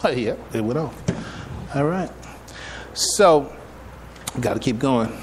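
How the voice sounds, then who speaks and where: A man giving a public religious lecture as an imam, speaking in a halting, deliberate way and often repeating his phrases. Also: mid-range voice, expressive, noisy background. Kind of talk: public religious lecture, partly reading from notes. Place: live public address in a room.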